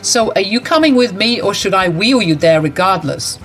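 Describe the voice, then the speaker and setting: A woman plays a protective young bride with a raised, lively voice, brisk and firm and quick to indignation. Faint music runs underneath. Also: mid-range voice, lightly acted.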